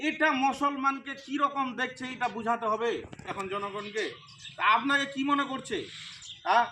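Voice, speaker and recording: A man with an extremely high, piercingly bright voice delivers a political harangue. He is emphatic and argumentative, constantly throwing out rhetorical questions. He is picked up from a distance with faint noise around him. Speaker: local political party activist. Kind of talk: impassioned political talk.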